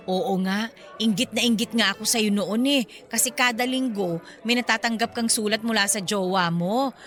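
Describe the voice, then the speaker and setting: A woman playing a chatty, excitable middle-aged mother confiding in her best friend in a radio drama. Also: a little high, normal speed, soft background music, natural voice. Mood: nostalgic, chatty. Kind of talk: conversational radio drama acting.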